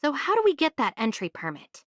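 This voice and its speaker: A woman voicing a practical, inquisitive young female adventurer in an anime game, speaking in a casual, measured way. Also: high-pitched, wildly swooping pitch, dry recording, clean studio sound. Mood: curious, focused. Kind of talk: anime video game voice acting.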